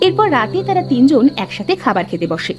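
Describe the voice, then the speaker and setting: High-pitched woman narrating a story. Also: very animated, quick pace, over music.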